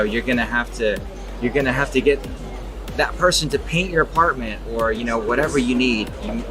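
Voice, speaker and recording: A man in his forties from California, raised speaking Czech at home, giving relaxed interview answers full of fillers and restarts at a trade show. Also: mid-range voice. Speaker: interviewee, exhibit designer. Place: exhibition hall at a trade show.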